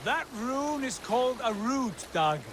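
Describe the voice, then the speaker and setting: An actor playing a wary, commanding Viking leader in a video game cutscene, measured and authoritative. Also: male voice, very high voice, big pitch swings, noisy background, heavily acted. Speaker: leader of a raiding crew. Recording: loud music and water behind dialogue. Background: game music, water, boat sounds.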